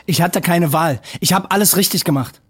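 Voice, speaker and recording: A defensive young policeman in an audio drama. His voice is husky and slightly high, his delivery curt, bitter and dismissive. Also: a little fast. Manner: curt, dismissive, self-righteous. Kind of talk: German audio drama acting.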